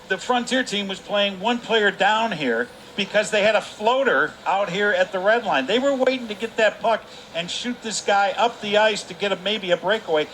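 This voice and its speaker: A man's voice: high-pitched, a bit bright, low-quality recording. A man giving conversational color commentary at a hockey game, repeating phrases for emphasis. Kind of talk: conversational sports analysis. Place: ice hockey arena. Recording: faint arena noise behind the voice.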